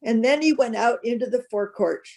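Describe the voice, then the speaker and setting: A woman narrating a solemn Bible reading as a scripted piece, with measured, careful phrasing. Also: a little high, darkish tone.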